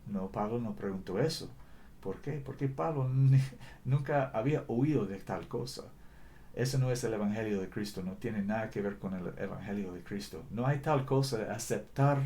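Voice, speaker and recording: A man preaching a sermon in a language that is not his first, earnest and deliberate, often correcting himself mid-sentence. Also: fairly low pitch, vocal fry, mellow, a little hiss or hum. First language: not Spanish.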